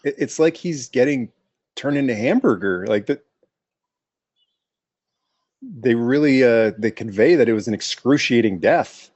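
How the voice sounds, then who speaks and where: A man's voice: mid-range voice, expressive, a little slow, long pauses, a little mellow, breathy edge, no echo, muffled recording. A man giving casual reaction commentary as he watches along.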